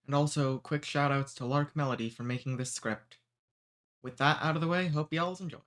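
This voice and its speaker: A man's voice: on the high side, fairly even intonation, fairly quick, a bit bright. A man who makes ASMR audios, giving a friendly, informal intro for his channel. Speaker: ASMR creator.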